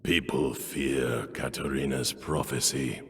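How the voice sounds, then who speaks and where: A man's voice: a bass voice, steady tone, takes it slowly, creaky, soft background music, exaggerated voice. A man playing an ominous, brooding zealot in a video game cutscene, speaking with portentous, theatrical, coldly philosophical delivery. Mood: somber. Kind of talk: dramatic scripted game cutscene acting. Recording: long echoing hall reverb.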